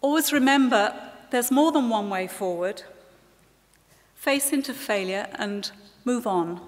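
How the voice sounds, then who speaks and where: Woman, 60s, earnest ceremony speaker; unhurried delivery, reverberant hall. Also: a little high. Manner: measured, earnest, addresses the students directly. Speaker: guest speaker at a leavers' ceremony. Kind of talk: formal ceremony speech. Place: large hall. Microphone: lectern microphone.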